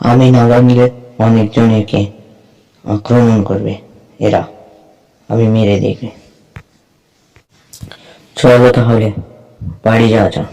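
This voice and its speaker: Flat-voiced brave young fighter played by a man. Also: medium pitch, takes it slowly, long pauses, low-quality recording. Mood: resolute. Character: brave, stubborn young male fighter protecting a lost girl. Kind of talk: amateur cartoon voice-over dialogue.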